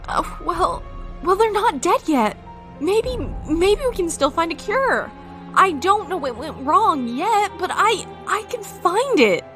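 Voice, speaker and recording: A woman's voice: very high voice, sing-song, takes it slowly, fairly bright tone, soft background music, full character voice. A woman playing an anxious, deferential doctor in an audio drama, hesitant and nervous. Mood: flustered.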